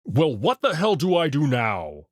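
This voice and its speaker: A man plays a massive alien wolf from a visual novel. He is expressive and easily exasperated, coaxing in halting phrases. His delivery is unhurried, with big swings of pitch. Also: no echo, studio quality, exaggerated voice.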